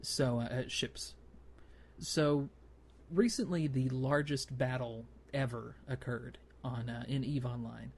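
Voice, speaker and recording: Man, creaky mid-pitched voice, casual podcast host, conversational explainer, slight background noise. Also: fairly even intonation, slow. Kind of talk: casual gaming podcast discussion.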